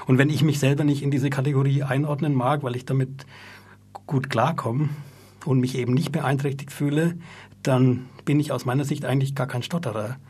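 A man's voice: medium pitch, a little mellow, breathy edge. A man hosting a radio talk show, speaking in a thoughtful, reflective way with an occasional stutter. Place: radio studio.

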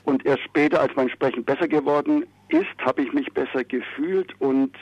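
A man calls in to a radio program, and his voice comes through as dull and dry phone-in audio. He talks calmly and candidly, with small hesitations between his thoughts. His pitch is in an ordinary middle range for a man. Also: normal speed, creaky, husky, no room sound. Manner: calm, candid, slight hesitations. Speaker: caller. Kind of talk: phone-in call.

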